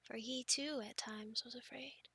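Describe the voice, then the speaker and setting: A woman's voice: fairly high pitch, steady tone, measured pace, bright, forceful tone, slightly rough. A young woman performing an ASMR roleplay in a playful, girlish manner, close to the microphone. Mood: hushed storytelling. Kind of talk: ASMR roleplay, whispered storytelling and casual chat.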